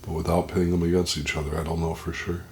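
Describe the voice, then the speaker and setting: A man with a very deep, low voice talks haltingly through a pepper tasting, his nose running and blocked up. He speaks into a plugged-in microphone at home, with a faint hiss behind him. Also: level tone, a little mellow. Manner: halting, describing the burn as it builds. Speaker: pepper reviewer. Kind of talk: casual vlog commentary. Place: indoors at home.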